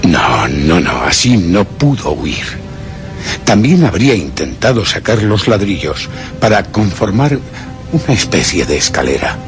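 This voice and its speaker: A man's voice: sits low, very animated, measured pace, music in the background. An actor with a Castilian Spanish accent playing a tormented man who reasons obsessively in a brooding, formal monologue for suspense radio theatre. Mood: puzzled, reasoning. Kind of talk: dramatic first-person suspense radio theatre narration.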